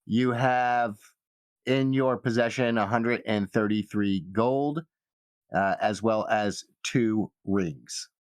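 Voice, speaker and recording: A man narrating a tabletop game as game master, with halting, trailing phrases. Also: fairly low pitch, smooth, no echo. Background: soft guitar music.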